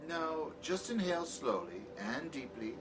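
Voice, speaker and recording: Guy playing a creepy condescending doctor, dry and sardonic, really animated pitch, music under it. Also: measured pace, low-quality recording. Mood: impatient, scornful. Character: peculiar sardonic male doctor, secretly sinister, condescending. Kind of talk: audio drama acting. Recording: music behind the voice.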